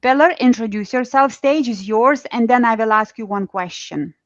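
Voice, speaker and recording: A woman's voice: on the high side, moderate pace, no room sound, lo-fi sound. Host, woman, Eastern European accent, loose conversational delivery, dry close sound. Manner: chatty, many restarts and fillers. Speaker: podcast host. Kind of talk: casual conversation.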